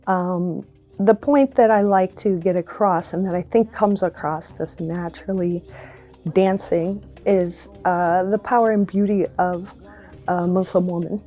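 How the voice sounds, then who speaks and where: A woman's voice: on the low side, steady tone, unhurried, mellow. A woman who dances, speaking earnestly and reflectively in an interview, often with ums and likes. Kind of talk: documentary interview.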